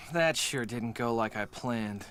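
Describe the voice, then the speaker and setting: A man's voice: mid-range voice, fairly bright tone. An actor playing a frustrated, lovelorn young man in a video game, earnest and defensive.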